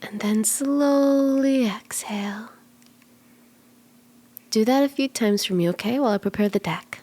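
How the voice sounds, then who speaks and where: A woman voicing a cute, friendly kitsune tarot mystic in an ASMR roleplay, gentle, playful and unhurried, soft-spoken and often slipping toward a whisper. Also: fairly high pitch, close to an everyday voice. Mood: calm, hushed. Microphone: close ASMR microphone in a dry space.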